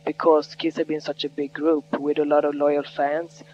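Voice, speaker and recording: Higher-pitched male interviewer, muffled phone sound. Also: monotone, normal speed, darkish tone, a little hiss or hum. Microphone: telephone. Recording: muffled, humming phone recording.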